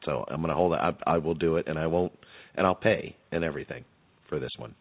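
A man's voice: a bass voice, fast, no echo. A man hosting a podcast, chatting and interviewing in a casual, joking way. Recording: thin phone-like sound, very dry.

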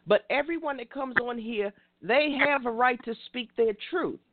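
A woman's voice: on the high side, moderate pace, no echo, phone-quality sound. A woman in her fifties hosting a call-in talk show, speaking in a preachy way and addressing listeners directly. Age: 50s. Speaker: radio show host.

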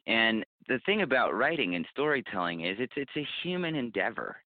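A man's voice: medium pitch, very animated, brisk. A man speaking as an interview guest, giving casual advice in an earnest, reflective, explanatory way. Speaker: interview guest, writer and podcaster. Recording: roomy, phone-like narrow band.

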